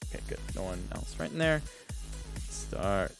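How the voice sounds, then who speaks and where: A man's voice: low-pitched, wildly swooping pitch, moderate pace, creaky. A man casting a live gaming stream in a casual, rambling, self-deprecating way. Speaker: esports livestream caster. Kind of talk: casual live game commentary and chat. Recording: music behind the voice. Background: music.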